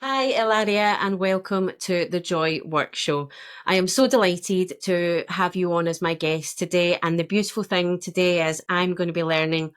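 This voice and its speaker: A woman hosts a podcast and speaks in a slightly low voice. She puts eager, enthusiastic questions to her guest. Faint music can be heard behind her. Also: moderate pace, clean tone. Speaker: podcast host. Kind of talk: scripted intro, then interview.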